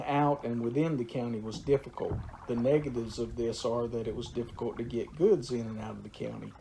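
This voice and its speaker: Local man explaining nature and history; relaxed, gravelly, stop-start with fillers, faint noise behind. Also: mid-range voice, rough recording. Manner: unhurried, with frequent "uh" pauses.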